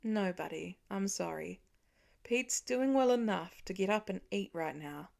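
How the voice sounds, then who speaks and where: A woman voicing a weary, protective father, earnestly and gently explaining things to his children in an audiobook. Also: medium pitch, expressive, measured pace, gravelly. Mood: gentle, firm. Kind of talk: acted audiobook dialogue.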